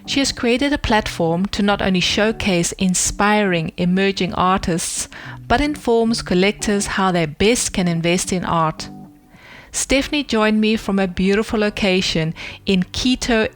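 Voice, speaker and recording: A woman hosting an art podcast, reading a scripted introduction and then asking interview questions in an encouraging, enthusiastic way. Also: medium pitch. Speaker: podcast host.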